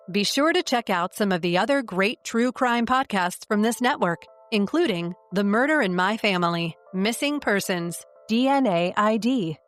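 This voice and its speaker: Woman announcing a podcast network. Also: medium pitch. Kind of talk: scripted network promo.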